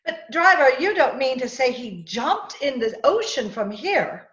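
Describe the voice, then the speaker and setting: A woman's voice: sits high, sing-song, measured pace, fairly bright tone, exaggerated voice. A woman playing an earnest, curious young female companion in an old-time radio drama over a video call. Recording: roomy, dull video-call audio.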